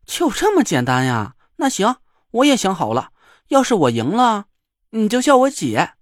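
Guy doing a sassy young woman, raised pitch, cheeky and offhand, swings up and down a lot. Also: huge pitch swings, moderate pace, no room sound, clean studio sound. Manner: cheeky, dismissive.

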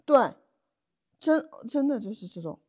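A woman's voice: high-pitched, very animated, a little slow, mellow. A woman co-hosting a podcast, telling stories casually with colloquial asides and rhetorical questions. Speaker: podcast co-host. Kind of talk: casual conversational storytelling.

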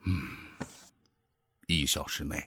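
An actor playing a grave, caring older space station director in a science fiction radio drama, speaking earnestly and with caution. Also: male voice, rumbling low, fairly even intonation, slow pace, pushed and bright, husky, no echo, exaggerated voice. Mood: grave concern.

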